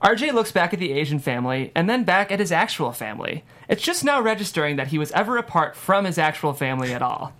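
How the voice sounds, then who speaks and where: A woman's voice: lowish voice, sing-song, fast, few pauses, a bit bright. A woman reading scripted narration and stage directions at a comedy table read.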